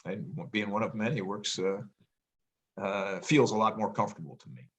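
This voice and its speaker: Low-voiced middle-aged man, halting musing. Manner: hesitant, many ums and restarts, reflective; says he has a loud voice. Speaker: podcast co-host, parent. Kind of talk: casual family conversation.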